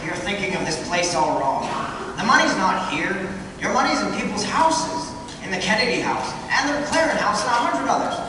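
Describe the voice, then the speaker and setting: An actor playing an earnest, excitable young husband in a staged radio play, talking in an animated, pleading way. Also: male voice, high-pitched, normal speed. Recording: echoey hall, far from mic, noisy.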